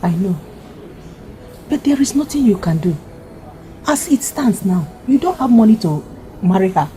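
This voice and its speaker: A woman's voice: mid-range voice, expressive, a little slow, warm tone, a little hiss or hum, natural voice, low-quality recording. An actress playing a pragmatic, firm middle-aged mother with a Nigerian Igbo accent, counselling her grown son in a film drama. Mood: firm, resigned.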